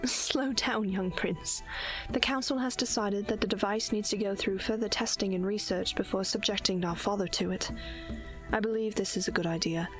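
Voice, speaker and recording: Audio drama princess, voiced by a woman: protective big sister, composed and comforting, formal wording, soft music bed. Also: medium pitch, fairly even intonation, lightly acted. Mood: calm, reassuring. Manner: soothing, measured, formal. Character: caring, dutiful princess, protective older sister.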